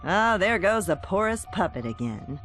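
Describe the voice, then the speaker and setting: A woman's voice: medium pitch, very animated, moderate pace, close to an everyday voice, rough recording. A woman voicing a sassy, teasing cartoon heroine with a wry manner. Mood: exasperated. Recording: game audio under loud music.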